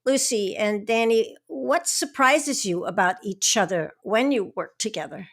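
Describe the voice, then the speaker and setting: A woman's voice: mid-range voice, measured pace, a bit bright. A woman hosting a conversational panel interview, putting questions to her guests. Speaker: host, interviewer.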